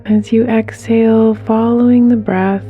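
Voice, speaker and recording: A woman leading a guided meditation in a soft, gentle, soothing way, with unhurried pauses and some breathiness. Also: on the high side, steady tone, soft background music.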